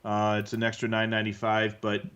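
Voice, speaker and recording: A man co-hosting a live stream, explaining things in a conversational, halting way as he answers viewers. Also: fairly low pitch, monotone, a bit bright, clean tone, highs cut off. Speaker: co-host answering viewer questions.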